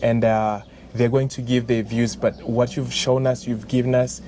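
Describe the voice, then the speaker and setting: A man's voice: medium pitch, level tone, moderate pace. A man interviewing guests in a casual talk, encouraging them with short affirmations. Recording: faint background noise.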